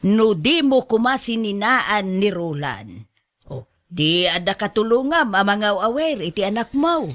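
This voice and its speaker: An unhurried, fretful grandmother played by a woman in a radio drama. She admonishes and fusses in drawn-out exclamations. Underneath the scolding she is caring. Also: medium pitch, very animated. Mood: scolding, worried.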